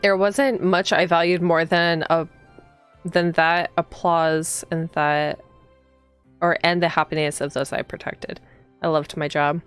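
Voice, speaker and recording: Casting: proud young female officer for a visual novel, acted out by a woman, earnest, quick to bristle, clear lower voice. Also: little pitch movement, soft background music. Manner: earnest, indignant. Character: proud, dutiful, easily angered young policewoman.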